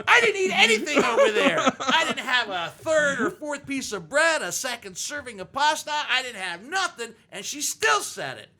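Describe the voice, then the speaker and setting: A man who just turned sixty and hosts the chat playfully. His voice sits high with a very bright tone. His pitch leaps around as he acts out quotes with big exclamations. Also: big pitch swings, normal speed, hardly any pauses. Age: 60s. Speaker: host. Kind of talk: casual chat.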